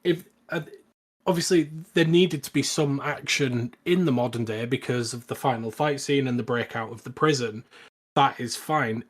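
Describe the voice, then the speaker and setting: Measured man with a mid-pitched voice. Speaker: podcast co-host. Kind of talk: casual film review chat.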